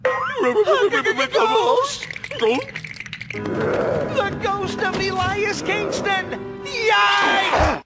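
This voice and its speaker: An actor playing a goofy, cowardly, food-obsessed young slacker in a cartoon game, with an excitable drawl. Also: male voice, very high-pitched, very slow, pauses often, loud music behind the voice, heavily acted. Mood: frightened. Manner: drawling, excitable, says "like" often.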